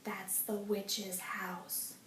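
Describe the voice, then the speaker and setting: A woman's voice: mid-range voice, flat delivery, very slow, fairly bright tone. A woman doing a know-it-all young boy. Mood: spooky hush. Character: boastful young boy who scares friends. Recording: roomy, distant mic.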